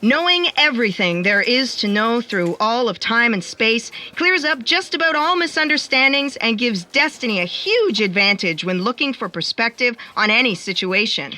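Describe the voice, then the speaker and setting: An actress playing a bossy, exasperated editor-in-chief in a comic sci-fi audio play, with an imperious, theatrical manner. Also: female voice, on the high side, lively intonation, moderate pace, hardly any pauses, bright, forceful tone. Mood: grand announcing.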